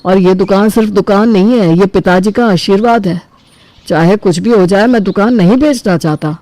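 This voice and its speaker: A woman's voice: mid-range voice, normal speed, dark tone, dry recording, natural voice. A woman voicing a principled, sentimental middle-aged shopkeeper, the eldest brother, speaking earnestly and firmly in a cartoon family story. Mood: troubled, earnest. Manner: earnest, firm. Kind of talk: acted dialogue in a Hindi cartoon family story.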